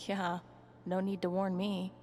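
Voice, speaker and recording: A woman's voice: medium pitch, completely flat delivery, a little slow, darkish tone, close to an everyday voice. An actress playing a wry, curious young woman roleplaying as a bard in a video game, talking conversationally. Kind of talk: video game acting, inner monologue.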